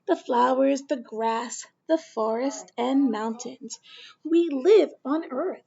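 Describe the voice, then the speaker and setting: A woman's voice: sits high, takes it slowly, vocal fry, mellow, lo-fi sound, heavily acted. A woman voicing a young girl's encouraging mother, speaking emphatically in a storybook reading.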